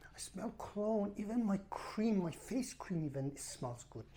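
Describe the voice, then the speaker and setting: A woman's voice: medium pitch, animated, unhurried, warm tone, a little hiss or hum. A woman who is a non-native English speaker, ranting casually to camera as a travel vlogger in an emphatic, repetitive, exasperated way. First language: not English. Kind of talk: casual rambling rant to camera.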